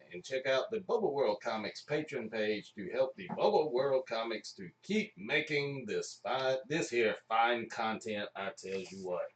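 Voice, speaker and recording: A man's voice: medium pitch, recorded from a distance. A man hosting a live stream in a folksy, chatty, casual way. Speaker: host and narrator of live stream. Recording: echoey room, off the mic.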